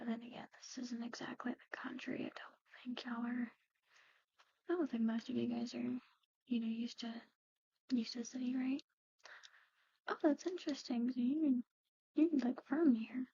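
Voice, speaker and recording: A woman doing an ASMR roleplay, speaking softly and in whispers, with a hesitant, halting, gently conversational manner. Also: a little high, gravelly, muffled recording.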